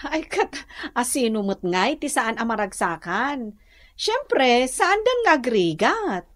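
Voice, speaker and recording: Lively, high-voiced gossipy Filipino villager. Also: female voice, slow pace. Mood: gossipy, emphatic. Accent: Northern Luzon Filipino. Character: gossipy, opinionated village woman, practical cousin. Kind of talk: radio comedy drama acting.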